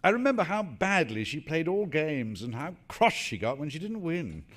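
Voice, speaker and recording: An actor playing an urbane, flippant middle-aged husband in a stage comedy, speaking with a dry, teasing British delivery. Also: male voice, mid-range voice. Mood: wistful, wry. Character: witty, flippant, urbane remarried English husband. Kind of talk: stage comedy radio acting.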